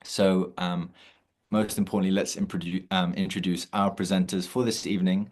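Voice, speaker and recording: A man hosting an online meditation session over a video call, talking in a relaxed way with many fillers and restarts. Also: sits low, moderate pace. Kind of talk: casual online session introduction.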